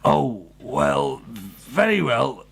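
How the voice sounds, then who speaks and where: Man acting a gravelly, anxious churchman father. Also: medium pitch, wildly swooping pitch, extremely slow, fairly bright tone, full character voice. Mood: troubled, confiding. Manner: grave, formal phrasing. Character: earnest, anxious churchman father convinced evil is coming. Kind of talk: radio play acting.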